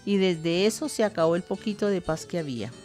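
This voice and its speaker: Woman as Colombian grandmother, over 60, low-pitched, telling memories in a radio play over faint music. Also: expressive, fairly quick, lightly acted. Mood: grave, reminiscing. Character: elderly grandmother recounting her rural childhood during war. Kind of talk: radio drama storytelling.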